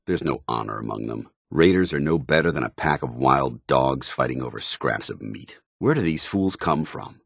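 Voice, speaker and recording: An actor playing a stern, disciplined armored soldier in a video game, speaking in formal, clipped military phrases. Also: male voice, a bass voice, animated, normal speed, hardly any pauses, a bit bright, breathy edge, no room sound, studio quality, heavily acted. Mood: contemptuous. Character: stern, dutiful armored soldier paladin, grown man, devout and disciplined. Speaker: companion character. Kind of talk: scripted video game dialogue.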